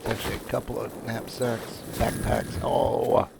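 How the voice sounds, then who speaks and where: A man casually commenting on his own gameplay, muttering relaxed asides as he plays. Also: fairly low pitch, unhurried, gravelly, background noise. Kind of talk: casual let's-play commentary.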